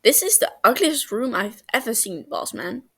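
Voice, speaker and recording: A woman's voice: a little high, very animated, moderate pace, breathy edge, heavily acted. A woman reading a story as a cheeky, blunt teenage boy who affectionately teases his best friend. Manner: teasing, blunt.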